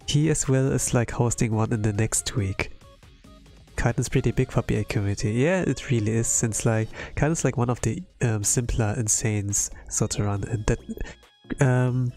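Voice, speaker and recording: A man from Europe, a non-native English speaker, chatting casually on a livestream in a hesitant, halting way with lots of fillers, sounding tired. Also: mid-range voice, a little mellow. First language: not English. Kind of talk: casual rambling livestream chat. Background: background music.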